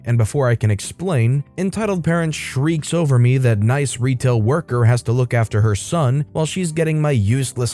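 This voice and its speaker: Mellow-voiced man reading a Reddit story. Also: mid-range voice. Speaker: story narrator. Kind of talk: reading a Reddit post aloud.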